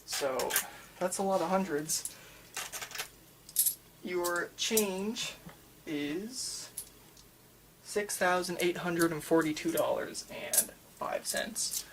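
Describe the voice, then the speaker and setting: Gravelly-edged, rambling ASMR store clerk with hiss. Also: medium pitch. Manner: rambling, halting, enthusiastic about anime. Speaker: manga store worker in a roleplay. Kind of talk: ASMR roleplay, casual customer-service chat.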